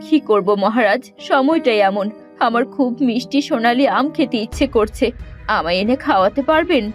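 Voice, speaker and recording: Woman playing a high-voiced gentle fairy-tale queen. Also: lively intonation, moderate pace, lightly acted. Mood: pleading. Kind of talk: Bangla cartoon fairy-tale voice acting. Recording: dull lo-fi audio under loud music.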